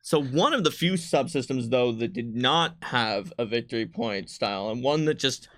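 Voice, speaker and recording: A man's voice: medium pitch, expressive, normal speed, a bit bright, dry recording, studio quality. A man co-hosting a casual livestream as a game designer, explaining things conversationally to viewers. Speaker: game designer and stream co-host.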